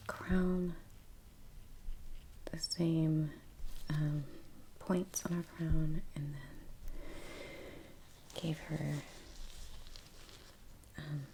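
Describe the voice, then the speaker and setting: A woman speaking in a soft, breathy near-whisper as the host of a relaxing ASMR painting channel. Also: sits low, slight background noise, poor audio. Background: faint ambience, brush sounds.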